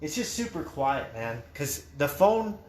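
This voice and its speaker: Dude hosting a livestream show, kinda high voice, loose and chatty, echoey room with a little hiss. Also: male voice, very animated, a little slow, darkish tone. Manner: loose, chatty, swears casually. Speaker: host. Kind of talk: casual livestream podcast chat. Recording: echoey room with faint noise.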